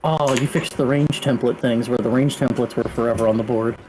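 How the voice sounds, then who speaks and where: Mid-pitched man explaining tabletop game rules. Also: fairly even intonation, fairly quick, music in the background, poor audio. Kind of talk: casual tabletop game chat.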